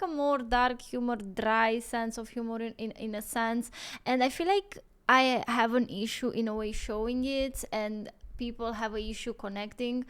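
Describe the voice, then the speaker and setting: Romanian lady chatting to her viewers, a bit high, super clear smooth voice, talks it out as she goes. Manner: chatty, thinking aloud. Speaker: reaction channel host. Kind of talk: casual chat to camera.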